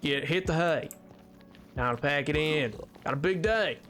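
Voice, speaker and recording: A man's voice: fairly high pitch, a little slow, background noise, close to an everyday voice. A man voicing an easygoing, polite cowboy with a drawling Southern US accent and a folksy, rambling, repetitive way of talking on a livestream. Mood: folksy, friendly. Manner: folksy, rambling, repeats himself. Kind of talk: improvised livestream banter. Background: music.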